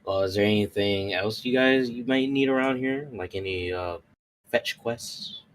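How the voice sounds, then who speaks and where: A man's voice: lowish voice, normal speed, a little hiss or hum, poor audio. A man playing a tabletop roleplay, speaking casually in character with a polite, chatty, slightly tentative manner.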